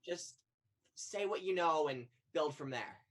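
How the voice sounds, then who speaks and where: A young actress plays an earnest spirit guide to the afterlife in a one-act play. The delivery is probing, solemn and urgent, with pitch that moves widely from phrase to phrase. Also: female voice, animated, a little slow. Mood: probing, insistent. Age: young adult. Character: earnest, insistent afterlife guide questioning the dead. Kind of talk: student one-act stage play acting.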